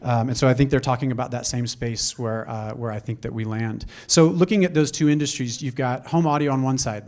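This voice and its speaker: Man pitching, halting and hesitant. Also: darkish tone. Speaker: entrepreneur pitching a product. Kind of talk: business pitch to a live audience. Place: on stage at a live event. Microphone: stage microphone.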